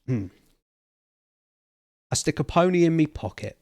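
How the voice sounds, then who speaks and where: A man's voice: fairly high pitch, lively intonation, a little slow, a little mellow, no echo, voice pushed up. Man acting innkeeper, Cockney, wheeler-dealer patter, slangy, storybook character, dry recording. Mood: cocky patter.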